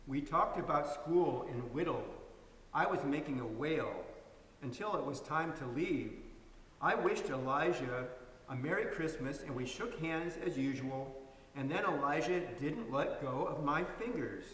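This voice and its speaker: A man's voice: on the high side, expressive, unhurried, a bit bright. A man narrating a story in a dramatic reading. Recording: echoey hall, distant mic, hiss.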